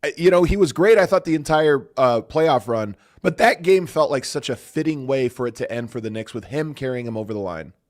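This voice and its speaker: A man's voice: mid-range voice, expressive, fairly quick, hardly any pauses. A man hosting a sports podcast in casual chat, his voice strained and going from coaching all weekend, asking questions with easy curiosity. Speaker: podcast host.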